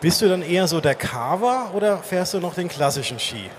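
A man's voice: high voice, expressive, brisk. A man hosting a casual interview on stage at a live event. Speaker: interview host. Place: live stage at a trade fair. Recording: faint background noise, live event.

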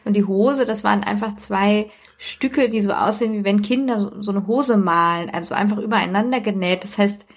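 A woman's voice: mid-range voice, a little fast, few pauses. Woman in casual anecdotal chat. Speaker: podcast co-host or guest.

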